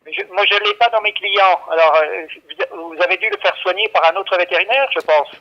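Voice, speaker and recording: Male clinic employee, high-pitched for a man, muffled phone sound; halting, repetitive, patient explanations in unscripted conversation. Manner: hesitant, stammering, repeating himself, patiently explaining. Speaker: veterinary clinic staff taking a call. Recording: dull, lo-fi phone line.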